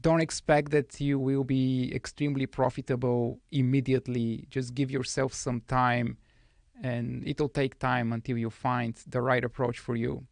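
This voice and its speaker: A man in his thirties, originally from Bulgaria, speaking as a guest trader in a studio podcast interview with a soft, measured manner. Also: mid-range voice, little pitch movement, creaky, no echo.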